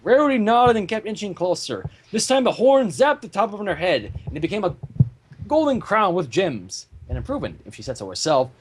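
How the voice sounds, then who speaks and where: A man narrating a fan-fiction story aloud in an animated storytelling style. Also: high-pitched, fairly quick, slight background noise.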